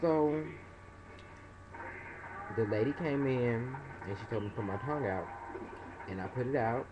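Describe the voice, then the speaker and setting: A man's voice: measured pace, background noise. Young man telling a story, lo-fi webcam sound. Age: young adult. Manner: animated, acts out other people's voices in high pitch. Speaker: vlogger. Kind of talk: casual storytelling vlog. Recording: dull lo-fi webcam audio.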